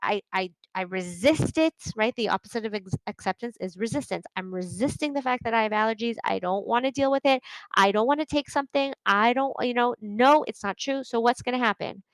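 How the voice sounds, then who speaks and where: Middle-aged woman chatting earnestly on her podcast, highish voice, super dry close sound. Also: a little fast, no room sound, poor audio. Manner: earnest, conversational, often asks "right?". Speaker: podcast host and coach. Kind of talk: solo podcast talk.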